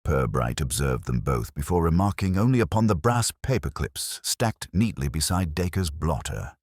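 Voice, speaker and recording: A man narrates a mystery story in a very low, husky voice. His pitch moves in lively swings as he reads, and the sound is dry and close. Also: moderate pace, dry recording.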